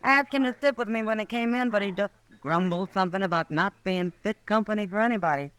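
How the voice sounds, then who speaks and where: A woman's voice: mid-range voice, fast, a bit bright, close to an everyday voice. An actress playing a frank, friendly frontier woman in an old-time radio western. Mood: friendly, concerned.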